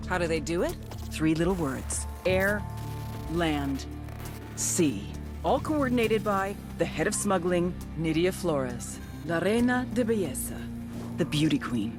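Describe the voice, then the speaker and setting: Hard-edged female intelligence agent, wry, punchy, very animated delivery, video game cutscene, strong music bed. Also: on the low side. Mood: sardonic, punchy. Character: tough, sardonic, foul-mouthed female intelligence agent briefing operatives. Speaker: mission briefer. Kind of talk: scripted game cutscene narration.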